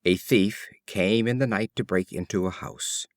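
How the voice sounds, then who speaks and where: A man narrating short fables from a script in a measured, expressive storytelling manner. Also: fairly low pitch, no echo, clean studio sound. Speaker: audiobook narrator.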